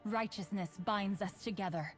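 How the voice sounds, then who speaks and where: A woman's voice: medium pitch, moderate pace, soft background music, lightly acted. An actress voicing a solemn, zealous female warrior of light for a video game, in a declarative, proclaiming manner. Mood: solemn conviction.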